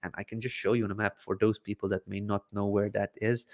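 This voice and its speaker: A man's voice: lowish voice, quick pace. A man in his thirties from Croatia, native Hungarian, casually answering viewer questions on a livestream with lots of ums, speaking into a desk microphone. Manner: relaxed, hesitant with frequent ums and "I believe". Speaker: livestream Q&A host. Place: home studio, indoors, seated in gaming chair. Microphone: desk microphone in front of face.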